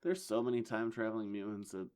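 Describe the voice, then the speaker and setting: A man hosting a casual comics podcast, hoarse from losing his voice, with dry wisecracks. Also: lowish voice, steady tone, fast, a little mellow. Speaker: podcast host. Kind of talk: casual chat.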